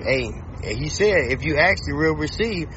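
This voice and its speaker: A man hosting a street interview with a slightly high voice. He talks in casual slang and preaches encouragement to his guests. The sound is dull, with faint traffic behind him. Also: expressive, moderate pace. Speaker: host. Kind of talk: on-location interview.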